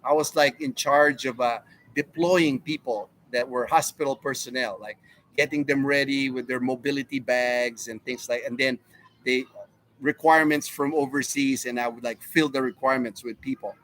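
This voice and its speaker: A man's voice: medium pitch, moderate pace, slight background noise, rough recording. Chatty man in his fifties. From United States. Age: 50s. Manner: relaxed, rambling, answers chat questions. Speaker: live stream host. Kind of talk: casual live Q&A chat. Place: home in the Philippines.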